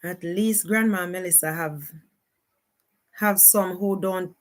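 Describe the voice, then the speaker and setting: A woman with a Jamaican accent giving casual, unscripted live commentary as a streamer, often repeating her exclamations. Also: on the low side. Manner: unhurried, repetitive exclamations.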